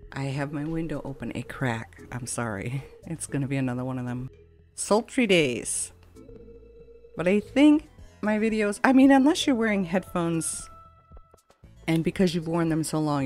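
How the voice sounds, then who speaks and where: A woman's voice: low voice, a little mellow. A woman giving casual, chatty, rambling let's play commentary as a gaming video host. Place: at home, window open a crack, fan running. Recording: game music behind the voice. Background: game music.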